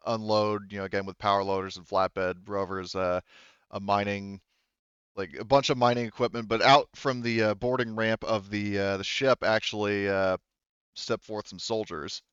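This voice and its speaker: A man narrating a tabletop roleplaying game as game master, thinking aloud in a halting way. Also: lowish voice, fairly bright tone, clear, resonant tone, no echo, muffled recording.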